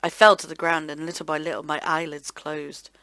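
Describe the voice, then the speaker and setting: A woman hosting a let's play, reading visual novel narration aloud in an expressive, theatrical way. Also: sits low, a little fast, no room sound. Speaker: host of a gaming let's play channel.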